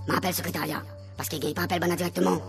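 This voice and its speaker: A man's voice: sits high, little pitch movement, racing, gravelly, a bit bright, distant mic. A man with a Réunion Creole accent playing a chatty, obliging spirit in a comic radio serial, with a rattling, colloquial delivery. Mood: chatty, fretful. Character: chatty obliging spirit acting as go-between for a medium. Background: music under the voice.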